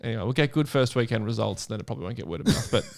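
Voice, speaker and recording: A man chats as a podcast co-host in a mid-pitched voice. He is casual and joking, riffing on hypotheticals in a conversational way. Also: animated, very fast, creaky. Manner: joking, plays out hypothetical dialogue. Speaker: podcast co-host reading fan fiction aloud. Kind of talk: casual comedic banter.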